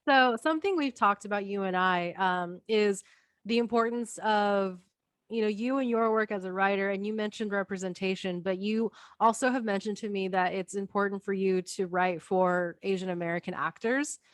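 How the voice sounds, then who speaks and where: A woman hosts a chatty interview in a dry, close-miked voice at a middle pitch. She fills her questions with easygoing "so" and "you know" as she feels her way through them. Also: normal speed, no echo. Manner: relaxed, hedging fillers like "so" and "you know". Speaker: podcast host. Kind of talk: casual interview chat.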